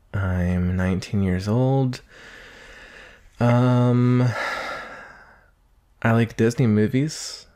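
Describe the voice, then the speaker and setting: A man's voice: on the low side, darkish tone. A man playing a shy, earnest nineteen-year-old naga monster boy in a conversational ASMR roleplay, hesitant, nervous and apologetic. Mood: shy, friendly.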